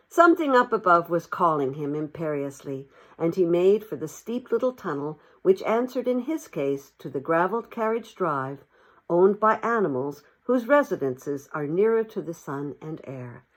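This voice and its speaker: Woman reading a story with lively intonation. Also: lowish voice, moderate pace. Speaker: audiobook narrator. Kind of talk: expressive storybook narration.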